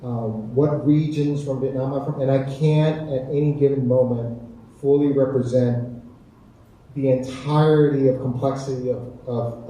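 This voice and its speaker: An American man speaks earnestly on a panel in a heavily echoing hall, picked up from a distance. His voice is very soft-edged and dark, and he talks deliberately, repeating phrases to make his point. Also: mid-range voice. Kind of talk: reflective panel discussion. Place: echoey indoor community event space. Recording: echoey hall, distant mic, faint noise.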